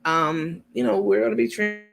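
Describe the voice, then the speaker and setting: A man's voice: fairly high pitch, animated, moderate pace, soft background music. A man hosting a casual livestream, chatting with his viewers and walking them through his screen step by step, with lots of ums and an easy irritation at small annoyances. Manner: chatty, explains step by step while sharing screen; many filler ums, gets irritated at small details. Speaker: channel host.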